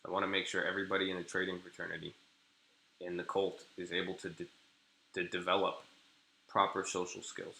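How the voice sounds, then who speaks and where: A young man from California rambles through a casual live stream, full of crude slang and half-finished sentences. His voice sits very low but sounds very bright and sharp. The sound carries a roomy echo. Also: completely flat delivery, a little fast, gravelly, long pauses. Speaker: day trader streaming live. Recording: echoey room.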